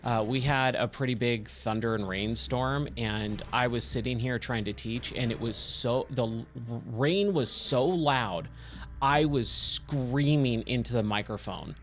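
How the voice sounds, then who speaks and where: A man with a slightly hoarse, scratchy voice giving casual, rambling game commentary and thinking aloud as he plays. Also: medium pitch, unhurried, fairly bright tone. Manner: rambling, self-questioning. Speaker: game streamer, host of a let's play.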